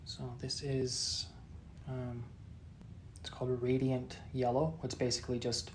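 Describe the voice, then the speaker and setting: A man in his thirties, a painter chatting casually with viewers during a live session, soft-spoken and halting with many fillers and restarts. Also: medium pitch, monotone, a little hiss or hum. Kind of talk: casual chat while painting.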